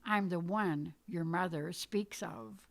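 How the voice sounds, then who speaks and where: A woman voicing a gentle, solemn holy man in a poetic story reading, speaking slowly and tenderly. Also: fairly low pitch, creaky. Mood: tender, grateful.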